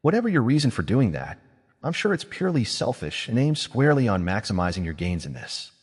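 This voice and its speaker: A man's voice: on the low side, very animated, brisk, husky, long reverb tail. A man voicing a guarded, blunt young male mage who speaks dryly and confrontationally.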